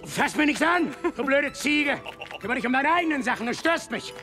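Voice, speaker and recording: A rude, snapping ruler. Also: male voice, very high-pitched, lively intonation, a little fast, soft background music, exaggerated voice. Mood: irritable. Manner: rude, snapping, shouty insults. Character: brash, irritable male ruler in a comedy film.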